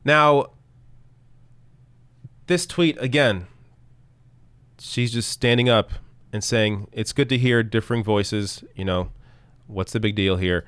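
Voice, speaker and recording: A man hosting a live political commentary stream, arguing bluntly and reading tweets aloud, with a voice that is going hoarse. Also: lowish voice, slow pace, pauses often, a bit bright, no room sound. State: losing his voice.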